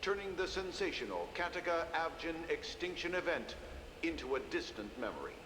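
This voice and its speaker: Official proclamation reader, game story, fairly high pitch, ceremonious, long hall reverb, noisy ambience. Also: male voice, sing-song, fairly quick. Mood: proud, official. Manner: ceremonious, formal. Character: formal, official male announcer of grand proclamations. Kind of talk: scripted news-like announcement in game.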